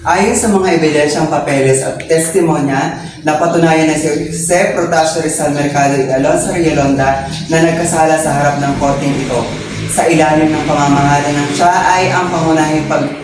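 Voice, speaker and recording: Solemn colonial court official played by a man, formal halting recitation, distant echoing mic, amateur historical drama. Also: on the high side, steady tone, lightly acted. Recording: very echoey room, distant, noisy.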